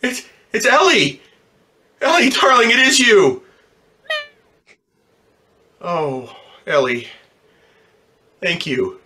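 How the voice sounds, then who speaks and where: A man's voice: sits high, wildly swooping pitch, extremely slow, long pauses, pushed and bright, exaggerated voice. A man acting in a radio drama as a troubled, weary, plain-spoken ambulance driver, stammering and repeating his words. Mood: amazed, overjoyed.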